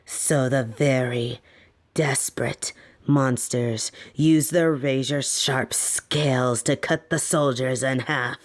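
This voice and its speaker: Woman doing a snake monster girl captor, low voice, real slow n teasing, softly menacing bedtime story vibe, fantasy audio rp. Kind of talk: scripted fantasy audio roleplay.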